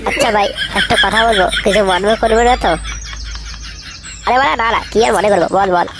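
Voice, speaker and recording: A man voicing a brash, foul-mouthed young cartoon layabout, swaggering and slangy, with a pitch-altered voice. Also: brisk, gravelly. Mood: cocky, bantering. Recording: faintly noisy cartoon dub, birdsong behind.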